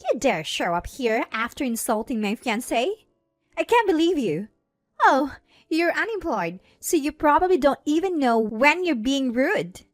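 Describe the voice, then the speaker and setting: Spiteful haughty little sister, acted by a female narrator: pitched high, loud and swooping, scornful story-drama delivery. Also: big pitch swings, unhurried, dry recording, faint music behind. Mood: smug outrage. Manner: scornful, berating.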